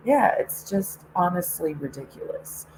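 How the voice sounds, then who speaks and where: Low-voiced woman ranting sarcastically. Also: darkish tone, slight background noise, rough recording. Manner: sarcastic, laughs often, swears freely. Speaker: online show host. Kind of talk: casual ranting monologue.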